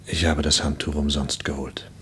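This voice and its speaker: An actor playing a grave, mournful man addressing the dead, subdued, in a German radio play monologue. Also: normal speed. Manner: subdued, monotone. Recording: faint low rumbling noise.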